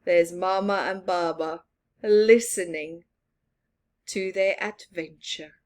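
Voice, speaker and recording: A woman reading a children's picture book aloud, expressive, with soft laughs and whispered asides. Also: fairly low pitch, slow pace. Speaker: storyteller.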